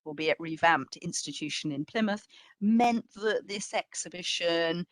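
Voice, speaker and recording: A woman speaks as a curator presenting a history talk in a very dry, close acoustic. Her pace is markedly slow and her pitch sits a little low. She is reflective yet emphatic, putting questions to her listeners as she goes. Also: dry recording. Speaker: museum curator giving a talk. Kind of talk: public history lecture, semi-scripted.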